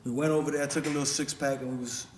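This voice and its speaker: A man's voice: medium pitch, little pitch movement, rapid-fire, slight background noise. A man who is a rapper guest chatting casually on a podcast, telling stories in a loose, slangy way with lots of restarts. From United States.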